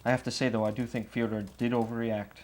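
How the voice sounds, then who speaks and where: A man's voice: mid-range voice, flat delivery, quick pace, a little mellow, a little hiss or hum, lightly acted. A man playing an earnest, apologetic young man explaining himself in conversational testimony. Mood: regretful.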